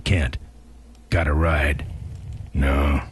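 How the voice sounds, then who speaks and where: Very deep, deadpan tough biker. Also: male voice, very slow, slight background noise, exaggerated voice. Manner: terse, deadpan. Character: gruff tough male biker hero, dry and menacing. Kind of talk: video game voice acting.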